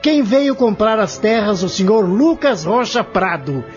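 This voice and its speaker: Casting: male actor, accusing prosecutor for radio play, formal and emphatic, very animated delivery; dull recording with music behind. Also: sits high, a little slow. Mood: confident, accusing. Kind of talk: audio drama acting. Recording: muffled lo-fi audio with music.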